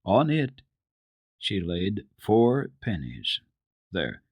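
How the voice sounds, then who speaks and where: A man reading a children's story aloud as a radio host, in a deliberate, expressive storytelling manner. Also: lowish voice, no echo. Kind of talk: dramatic storybook narration.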